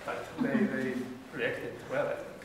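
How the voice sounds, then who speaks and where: A man in the audience introducing himself as a professor, relaxed and joking. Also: mid-range voice, measured pace. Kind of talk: self-introduction. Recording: echoey room, distant mic, loud noise.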